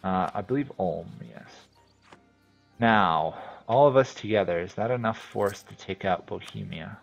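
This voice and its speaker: A man from New England with a New England accent, casually commenting on his strategy game as he plays and thinking out loud with many fillers. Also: lowish voice, measured pace, gravelly, soft background music. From New England, USA. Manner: thinks aloud, rambling with fillers. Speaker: game streamer. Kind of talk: casual commentary while playing.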